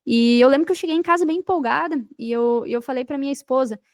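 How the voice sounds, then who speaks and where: High-pitched Brazilian woman telling her story. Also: fast, a little mellow, dry recording, studio quality. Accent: Brazilian Portuguese. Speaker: podcast guest, digital marketing entrepreneur. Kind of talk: conversational interview storytelling.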